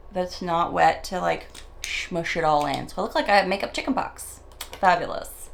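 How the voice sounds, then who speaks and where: Raspy-voiced woman chatting through her makeup. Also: mid-range voice, a little hiss or hum. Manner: nervous, self-deprecating, rambling with stumbles. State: raspy morning voice. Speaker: beauty vlogger. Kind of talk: chatty get-ready-with-me monologue.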